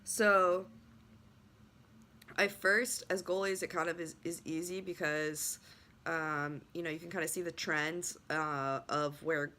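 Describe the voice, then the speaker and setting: A woman's voice: low-pitched, steady tone, slow pace, sharp tone. A young adult woman telling her own story in a casual vlog, rambling with many restarts, her voice still not fully back after being sick. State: voice still recovering from illness. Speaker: lacrosse goalie trainer.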